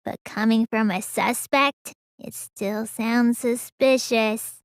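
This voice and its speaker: A woman voicing a small, gloomy, stubborn teenage girl mage in an English video game dub, drawling when calm and stammering when upset. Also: a little high, takes it slowly, clean tone, no echo. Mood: sleepy, deadpan.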